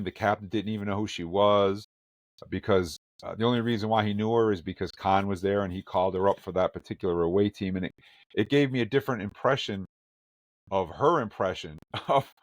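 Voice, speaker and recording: A man with a lowish voice talks in a loose, animated podcast chat, exclaiming and joking. The sound is close and dry, with no room around it. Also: no echo. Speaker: podcast co-host. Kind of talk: casual review chat.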